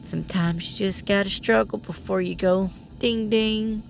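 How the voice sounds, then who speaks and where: A woman's voice: mid-range voice, steady tone, measured pace, gravelly. A woman vlogger narrating her beading in a casual voiceover, with a rambling, self-deprecating way of talking and a stuffy, sniffly nose.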